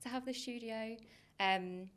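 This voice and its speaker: A woman in her twenties from Leicester with a faded Leicester accent, chatting casually as a podcast guest, with many ums and a habit of trailing off quietly. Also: fairly high pitch, unhurried, clean tone. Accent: faded Leicester English. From Leicester, England. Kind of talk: casual interview chat.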